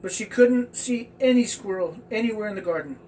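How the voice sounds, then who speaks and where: A man telling a children's story aloud in a halting, stumbling way. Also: high-pitched, darkish tone. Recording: distant mic with faint background noise.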